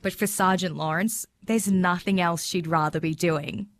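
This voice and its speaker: Woman reporter, slightly low voice. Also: steady tone, moderate pace, dry recording. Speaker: news reporter. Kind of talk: scripted report narration.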